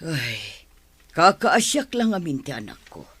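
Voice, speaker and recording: A woman's voice: deep voice, sing-song, measured pace, a bit bright, slightly rough, no room sound, heavily acted. A woman playing a worried, plaintive middle-aged mother in an Ilocano radio drama. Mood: pleading, sorrowful. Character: worried, pleading mother of a struggling grown daughter.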